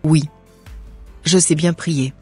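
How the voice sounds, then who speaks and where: An actress playing an eager, compliant young bride-to-be in a cartoon drama, with agreeable, formal replies. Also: female voice, deep voice, fairly even intonation, slow pace, dark tone, slightly rough, soft background music, full character voice.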